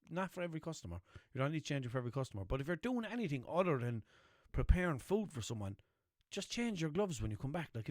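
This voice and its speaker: A man's voice: a little high, a little mellow, no room sound, clean studio sound. A young man with a Limerick accent hosting a podcast, rambling casually, swearing freely and checking in with listeners. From Ireland. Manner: chatty, rambling, swears freely, asks listeners "know what I mean". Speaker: podcast host.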